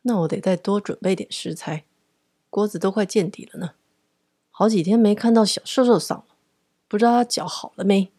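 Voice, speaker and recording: A woman voicing a calm, easygoing male owner of a late-night diner in a radio drama, unbothered and understated. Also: mid-range voice, brisk, no room sound, clean studio sound, natural voice.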